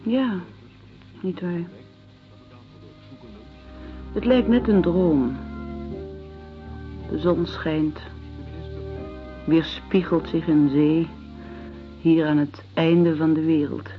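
Slow-spoken, dreamy lady passenger. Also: low-pitched, faint music behind. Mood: dreamy, polite. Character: polite, wonder-struck Russian lady passenger aboard a ship. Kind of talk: radio drama acting. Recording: dull lo-fi old radio play recording.

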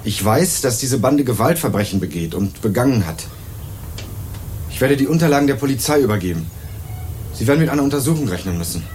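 A man's voice: medium pitch, expressive, a little fast, darkish tone, a little hiss or hum, lightly acted. A young man acting an earnest, wryly sardonic student investigator in a German radio crime drama, articulate and dry. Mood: earnest, accusing. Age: young adult.

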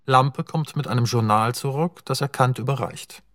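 A man narrating stage directions in a radio drama, reading in a matter-of-fact, even way. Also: mid-range voice, normal speed. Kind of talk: scripted narration.

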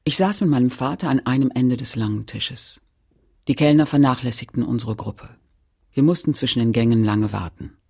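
An actress playing a woman recalling a shamed childhood, narrating a literary radio drama soberly and deliberately. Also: rumbling low, warm tone, breathy edge, exaggerated voice.

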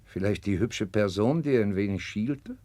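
An actor playing a flippant, lecherous court official in a 1960s German radio play, casual and offhand. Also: male voice, fairly low pitch, moderate pace, dark tone, dry recording, lightly acted. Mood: flippant, leering.

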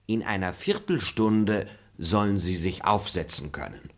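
A man's voice: deep voice, a little slow. An actor playing an insolent male servant who mocks his master in a radio drama. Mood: mocking. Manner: insolent, mocking. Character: cheeky grumbling male servant.

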